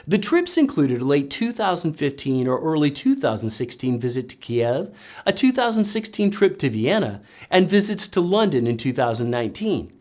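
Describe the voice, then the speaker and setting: Animated narrator with pointed emphasis. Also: mid-range voice, normal speed. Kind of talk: scripted political commentary narration.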